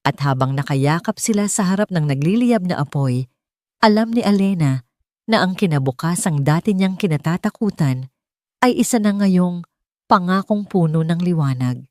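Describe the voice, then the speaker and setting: A woman's voice: on the low side, expressive, normal speed, a little mellow, no room sound, clean studio sound. A woman storyteller reading a scripted romantic tale in a dramatic narrating style.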